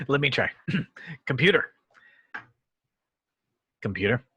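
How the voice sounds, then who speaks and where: Bright, forceful, cocky starship captain played by a man. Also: medium pitch, lively intonation, takes it slowly, breathy edge, full character voice. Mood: cocky, insistent. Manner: casual, self-assured. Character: cocky, casual male starship captain actor. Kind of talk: amateur remote table read of a sci-fi comedy.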